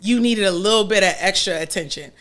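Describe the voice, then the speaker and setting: A woman's voice: mid-range voice, fast, fairly bright tone. A woman giving blunt, knowing dating advice in a casual clip.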